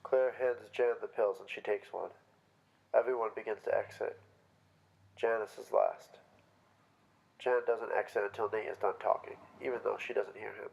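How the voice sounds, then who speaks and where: A man reading the stage directions of a play as scripted narration in a staged reading, with a gravelly voice. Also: lowish voice, fairly even intonation, moderate pace, long pauses, a little mellow, poor audio.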